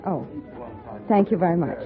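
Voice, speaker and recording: A woman's voice: lowish voice, animated, moderate pace, some chatter behind. Icy young society lady, clipped, formal, disdainful, tinny old radio drama, music behind. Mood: cool. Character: haughty, icy, well-bred young lady rebuffing suitors. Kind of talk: 1950s radio drama acting.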